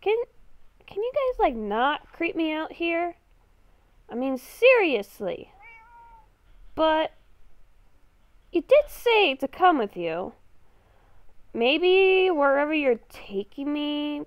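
A woman improvising in-character commentary for a game roleplay, speaking hesitantly and haltingly, with sarcastic asides. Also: high voice, sing-song, no room sound. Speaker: gamer playing a roleplay series.

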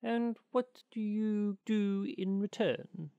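A man voicing a small, wise male green linnet in a storybook reading, gently probing. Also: high-pitched, slow pace, clear, resonant tone, no echo, lo-fi sound, voice pushed up.

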